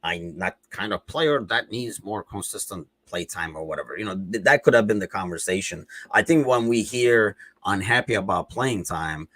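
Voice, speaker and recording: A man with a Turkish accent talks through football news in a loose, rambling way, leaning on lots of "you know" and "like" fillers. His voice sits a little low, and the recording is dry and close with no room sound. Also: animated, moderate pace, hardly any pauses, a bit bright, no echo. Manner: rambling, conversational, many fillers. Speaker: podcast host. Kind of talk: casual football chat.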